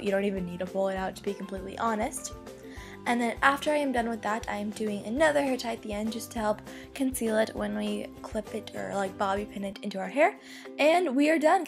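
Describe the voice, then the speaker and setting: A young woman talking through a hair tutorial in a casual, chatty way, her voice a little hoarse from losing it. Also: mid-range voice. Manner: chatty, upbeat, many "like" fillers. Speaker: beauty vlogger. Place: bedroom.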